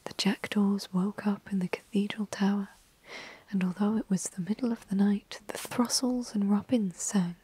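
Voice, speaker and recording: A woman telling a classic storybook tale in a soft, unhurried ASMR whisper. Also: medium pitch, steady tone, slight background noise.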